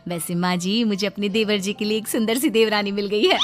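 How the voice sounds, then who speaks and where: A woman's voice: medium pitch, fairly even intonation, a little fast, lightly acted. A woman voicing a scheming elder daughter-in-law in a cartoon, talking in a sugary, coaxing way. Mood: sweet-talking. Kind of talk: cartoon voice acting.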